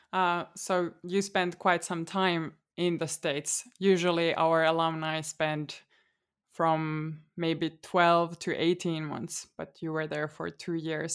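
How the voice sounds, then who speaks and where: A woman hosting an interview podcast, reading a scripted welcome and then asking questions in a measured way with some fillers. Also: low-pitched, smooth. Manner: measured, asks with fillers and restarts. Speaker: podcast host.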